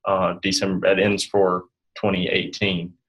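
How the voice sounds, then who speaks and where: A man giving informal explanations as an interview guest, in hesitant, halting phrases. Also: deep voice, monotone, fairly bright tone, low-quality recording. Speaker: guest health insurance specialist.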